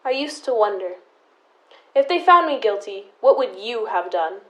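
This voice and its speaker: A young woman playing a stubborn, embittered, protective sister in an audio drama, with deliberate, resentful delivery. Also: medium pitch, lively intonation, lightly acted, low-quality recording. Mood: bitter, resolute. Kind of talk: high school audio drama acting.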